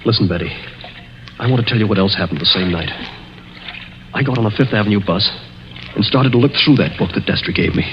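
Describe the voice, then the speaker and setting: A man's voice: fairly low pitch, fast, long pauses, lightly acted. An actor playing a nerve-racked young man hunted by his stepfather, tense and dramatic in the 1940s radio style. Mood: tense, bitter. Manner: tense, agitated, dramatic delivery. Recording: dull, noisy old 1940s radio recording.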